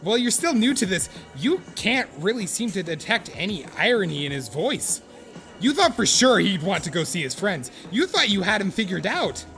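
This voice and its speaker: A man performing an earnest, excitable storyteller in a comic audio drama. His fairly high voice swings widely as he narrates with theatrical, mock-solemn gravity. Soft music plays behind him. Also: normal speed, gravelly, heavily acted. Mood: bemused. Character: earnest, excitable male narrator of an awkward would-be friend. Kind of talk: dramatic second-person scripted narration with comic profanity. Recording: music under the voice. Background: background music.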